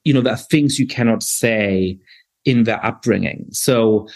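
Man, podcast host, measured, thoughtful questions. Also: medium pitch, darkish tone. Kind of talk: podcast interview.

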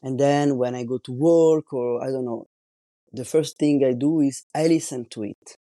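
A man in his forties from Italy, with an Italian accent, hosting a solo podcast in English, his second language, slowly and carefully hunting for words. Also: medium pitch, mellow, dry recording, studio quality. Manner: slow, careful, searching for words. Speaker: podcast host.

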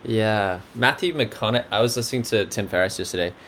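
A man's voice: lowish voice, brisk, a bit bright, slight background noise. A man hosting a podcast, chatting loosely and interjecting with agreement. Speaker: podcast host. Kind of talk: casual interview chat.

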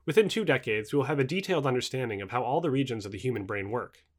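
Brisk, expressive male narrator. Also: medium pitch. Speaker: reader of a non-fiction book. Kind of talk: scripted audiobook-style narration.

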